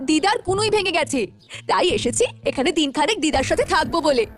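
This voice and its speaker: A woman's voice: high voice, lively intonation, quick pace, faint music behind. A woman voicing a worried, cautious village boy in a cartoon, speaking in an earnest, explanatory way. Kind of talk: Bengali village cartoon dialogue.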